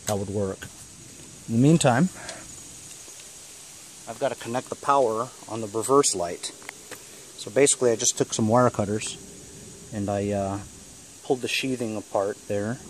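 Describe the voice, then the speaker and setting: A man's voice: on the low side, slight background noise. A man talking casually through a hands-on how-to in a blunt, colloquial, grumbling way. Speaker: DIY how-to video maker. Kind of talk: casual hands-on tutorial chat. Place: in and around a cargo van.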